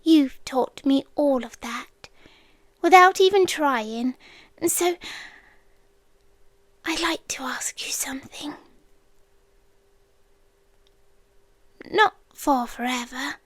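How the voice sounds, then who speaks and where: A British woman voicing an ancient, lonely, devoted creature in a soft, whispery, hesitant ASMR roleplay, close to the mic. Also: sits high, no echo. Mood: pleading, vulnerable. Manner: soft, slow, hesitant, gentle. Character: ancient lonely female creature, tender, devoted, shyly protective. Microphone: close microphone, dry.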